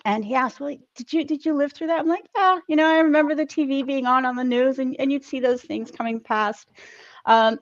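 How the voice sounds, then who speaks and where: A woman's voice: sits high, no echo, highs cut off. A woman from the Netherlands who grew up partly in South America, speaking conversationally as an interview guest. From Netherlands and South America, mostly Colombia. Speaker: interview guest, corporate global mobility manager. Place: at home, on an online call.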